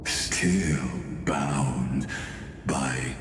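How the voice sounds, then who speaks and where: An actor playing an ancient imprisoned godlike villain in a video game, calm and smug, taunting theatrically with drawn-out pauses. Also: male voice, extremely low pitch, background noise, exaggerated voice. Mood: mocking, probing. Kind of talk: dramatic video game voice acting. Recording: echoing hall reverb, music underneath. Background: music.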